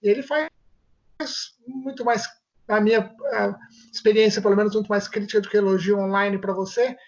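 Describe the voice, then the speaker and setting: A Brazilian man, a family physician, answering interview questions in a measured way and often restarting his phrases as he looks for words. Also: high-pitched, steady tone. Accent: Brazilian Portuguese. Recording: muffled lo-fi audio.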